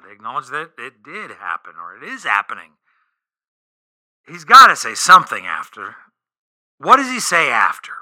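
A man's voice: mid-range voice, wildly swooping pitch, normal speed, pauses often, sharp tone, heavily acted. A man acting an exasperated, sarcastic, prying Hollywood agent in a comedic radio play, talking in incredulous, rambling rants. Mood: squeamish exasperation.

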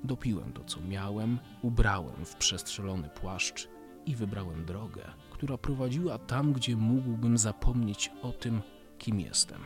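A man's voice: on the low side, lively intonation, unhurried. Man playing a weary, brooding dystopian survivor over music. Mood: bitter, weary. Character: weary embittered male survivor in a dystopian world. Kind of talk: scripted first-person radio drama monologue.

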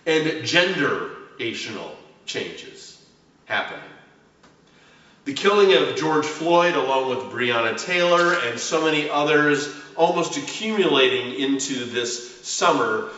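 A man preaching a prepared sermon as a pastor, with measured, deliberate phrasing, emphatic stresses and falling line endings. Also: on the high side, pushed and bright. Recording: very echoey room, distant mic, slightly dull sound.

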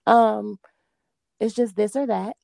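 A woman hosting a casual interview, asking questions in a relaxed, friendly way with loose fillers. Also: medium pitch, takes it slowly, vocal fry, no room sound, studio quality. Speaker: host, interviewer.

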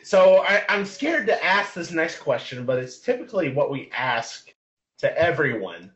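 A man's voice: a little high, big pitch swings, a little slow, fairly bright tone. A man hosting a podcast, putting questions to a guest in a casual interview style over an online voice chat. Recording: muffled lo-fi internet voice call.

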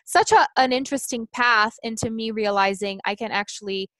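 A woman's voice: fairly high pitch, a little fast, a bit bright, no echo, low-quality recording. Woman, 30s, native Portuguese speaker, earnest interview guest, thinks aloud with restarts, dry close recording. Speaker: life coach guest. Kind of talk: casual interview chat.

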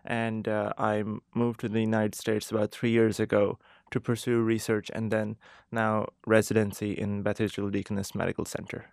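A young man with a Pakistani accent, answering radio interview questions in a thoughtful, measured and earnest way. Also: on the low side, little pitch movement, creaky, darkish tone, no room sound, clean studio sound. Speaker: interview guest, medical resident.

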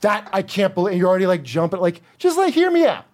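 A man with a New Jersey accent and a high voice that jumps all over. He rambles through self-deprecating jokes as co-host of a loose comedy chat. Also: very animated, fast, darkish tone. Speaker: podcast co-host.

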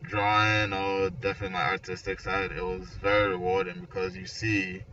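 Young man, bright forceful voice, casual conversational talk, dull recording with background noise. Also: low voice, level tone, normal speed. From Nigeria. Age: 20s. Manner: conversational, peppered with "like" and "you know". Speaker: architecture graduate discussing his background and creative work. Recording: distant mic, muffled, noisy ambience.

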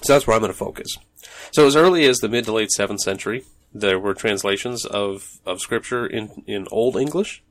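A man's voice: lowish voice, a little fast. A man hosting a solo podcast from his home office, talking in a loose, rambling way with many ums and restarts. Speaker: podcast host. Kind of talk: casual solo podcast monologue.

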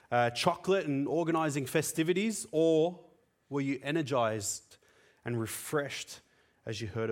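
A man whose first language is Tamil, preaching a sermon in an earnest, conversational way with frequent fillers. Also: fairly high pitch.

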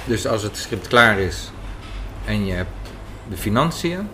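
A man's voice: fairly low pitch, sing-song, a little slow, pushed and bright. A man from the Netherlands interviewing conversationally, inquisitive and thinking aloud in short phrases. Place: café, indoors. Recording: clear café background noise. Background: café noise.

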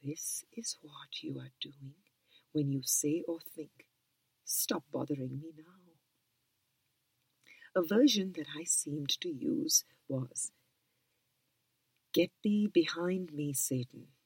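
Low, breathy whispering woman reader. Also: dry recording, low-quality recording. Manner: whispering, calm and unhurried. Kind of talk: soft whispered reading of a spiritual book.

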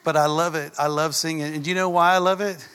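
A man from Texas, preaching a sermon in a folksy, animated way and dropping to a whisper for emphasis. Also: fairly high pitch, fast talker, clear, resonant tone, big-room sound. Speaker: pastor. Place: church.

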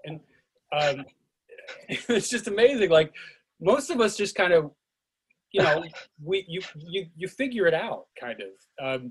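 A man with a husky voice hosts a casual discussion. He talks in a halting, digressive way, like someone thinking aloud. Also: fairly high pitch, sing-song. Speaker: actor and director hosting a play reading.

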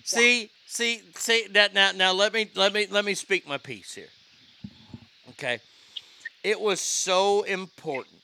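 A man hosting a casual talk show, ranting in an animated, profane way. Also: high voice, measured pace, slight background noise. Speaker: host.

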